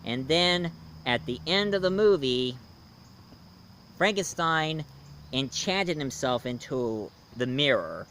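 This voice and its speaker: A man giving a casual movie review as host, in a halting way with pauses mid-sentence and repeated phrases. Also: fairly high pitch, lively intonation, a bit bright, slight background noise.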